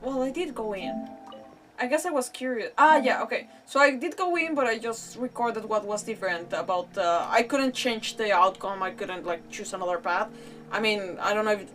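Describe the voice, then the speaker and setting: A woman's voice: mid-range voice, normal speed, a bit bright, soft background music. A woman giving casual, rambling game commentary as a streamer, often interrupting herself. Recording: distant mic, game noise behind.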